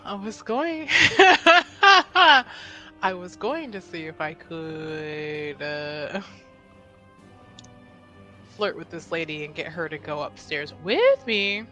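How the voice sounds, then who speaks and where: A woman playing a tabletop roleplaying game, chatting casually in a giggly, self-deprecating, animated way. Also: low voice, takes it slowly, soft background music.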